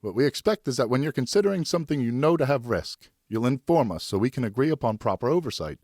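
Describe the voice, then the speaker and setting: A man playing a firm but loving father who speaks in a stern, measured way in an audiobook. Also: mid-range voice, animated, a little mellow, no echo, clean studio sound, pitched above the performer's own voice. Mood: patient.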